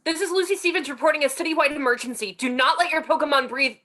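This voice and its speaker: Woman playing a shrill, panicked young woman reporter. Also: fast, pushed and bright. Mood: urgent. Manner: shrieking, urgent. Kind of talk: amateur online table read of a film script.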